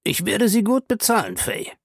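A man voicing a shady, pushy male schemer in an audiobook, insistent, ironic and scornful, with a gravelly voice. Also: sits high, huge pitch swings, normal speed, dry recording, clean studio sound, pitched above the performer's own voice, heavily acted. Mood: insistent, coaxing. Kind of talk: audiobook character dialogue.

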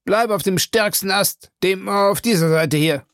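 A reader voicing an excitable, obsessive man who calls out commands in an urgent voice. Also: mid-range voice, a little slow, no room sound, studio quality, voice pushed up. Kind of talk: audiobook character voice.

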